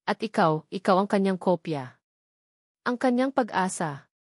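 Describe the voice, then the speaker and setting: A woman's voice: medium pitch, animated, measured pace, no echo, clean studio sound. A woman voicing a doting, wealthy old grandfather in a story, tender and reassuring. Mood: fond.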